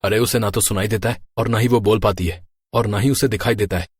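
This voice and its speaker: An actor playing a placating, secretive young husband in a horror storybook, speaking in a coaxing, explanatory way. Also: male voice, on the low side, steady tone, fairly quick, slightly rough, dry recording. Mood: puzzled. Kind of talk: acted horror storybook dialogue in Hindi.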